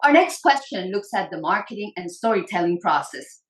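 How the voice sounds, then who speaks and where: A woman moderating a panel discussion, reading clear, prepared questions. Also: medium pitch, big pitch swings, moderate pace, echoey room.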